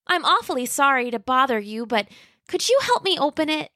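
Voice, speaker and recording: Storybook: a high, sweet, polite girl T-Rex, childlike and eager, clear dry sound, voiced by a woman. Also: very animated, a little fast, sharp tone, no echo, heavily acted. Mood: pleading.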